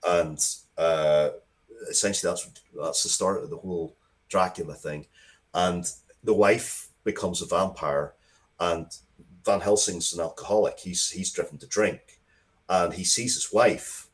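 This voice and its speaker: A man with a very low voice talks casually as a guest writer in an interview. He rambles in a conversational, blunt way, spinning out explanations of his own creative work. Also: unhurried. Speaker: interviewed writer of comics and stories.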